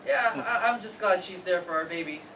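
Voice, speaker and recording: A man's voice: sits high, fairly quick. A man playing a blunt soldier son around thirty in a staged play reading. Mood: earnest. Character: blunt thirty-year-old soldier son, organized, married younger brother. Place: theater stage, recorded from the audience. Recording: distant, noisy live theater recording.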